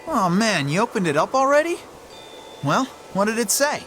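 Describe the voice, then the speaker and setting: A brash young thief. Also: male voice, high voice, normal speed, a little hiss or hum. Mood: teasing. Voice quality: lively, teasing. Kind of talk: video game dub acting.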